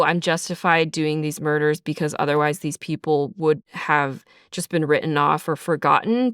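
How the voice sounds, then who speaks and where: A woman's voice: low voice, a bit bright, smooth, no room sound, studio quality. A woman giving casual, reflective interview answers as a writer, explaining her creative process in a conversational way. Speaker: audio drama writer and creator being interviewed.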